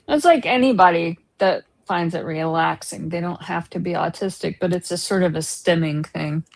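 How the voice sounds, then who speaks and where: Low gravelly woman explaining calmly. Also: normal speed, no room sound. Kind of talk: casual chat.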